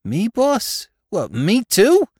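A man's voice: on the high side, very animated, normal speed, no echo, studio quality, pitched above the performer's own voice. A man voicing an eager, humble small-time New York crook in a storybook, slangy and deferential, with a New York accent. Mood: surprised, pleading.

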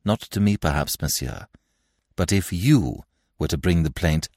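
A man voicing a calm, earnest young man. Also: sits low, a little slow, dry recording, clean studio sound. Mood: firm.

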